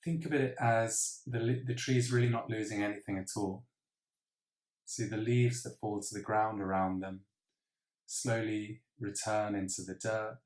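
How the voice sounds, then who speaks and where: A man leading a guided meditation in a measured, reflective way with pauses. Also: on the low side, a little mellow. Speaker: meditation teacher. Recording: roomy, echoing sound.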